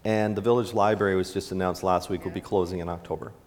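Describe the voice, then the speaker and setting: A man's voice: sits low, fairly even intonation, fast, a little mellow. A middle-aged man from Canada, a town mayor, answering questions at a town hall in a casual, rambling way with many restarts. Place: large indoor hall with a stage. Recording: long hall reverb.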